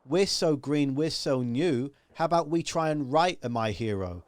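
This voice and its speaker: A man's voice: a little high, lively intonation, normal speed, dry recording. A man hosting a casual interview over a video call, talking in long, emphatic, rambling stretches. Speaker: podcast host.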